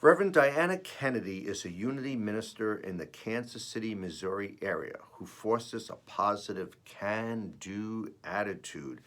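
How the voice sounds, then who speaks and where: A man with a raspy, slightly under-the-weather voice, reading and reflecting as host of an earnest spiritual message, with deliberate pauses. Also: lowish voice, expressive, a bit bright. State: voice rough while recovering from feeling unwell. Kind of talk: devotional reading and personal reflection.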